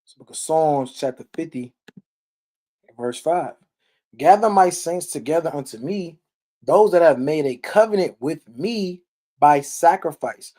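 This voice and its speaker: A man's voice: fairly high pitch, animated, unhurried, warm tone. A man giving an informal, verse-by-verse Bible teaching, emphatic and blunt, often checking in with short questions and tags. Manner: blunt, salty language. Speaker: Bible teacher on a live stream. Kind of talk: informal sermon, verse-by-verse read-along commentary.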